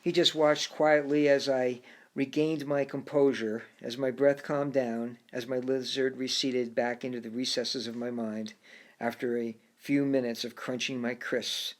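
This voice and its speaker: A man's voice: mid-range voice, steady tone. A man narrating his own first-person story as an audiobook, reflective in tone and sometimes stumbling over a word and restarting. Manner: reflective, with occasional halting restarts.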